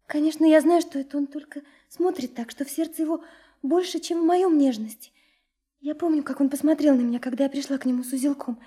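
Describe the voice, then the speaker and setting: An actress in a radio drama playing a sincere, lovesick young woman, soft and hesitant, often dropping into a breathy whisper. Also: high voice, fairly even intonation, fast talker, close to an everyday voice. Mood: earnest, confiding. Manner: hesitant, earnest, confiding. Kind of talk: intimate radio drama acting.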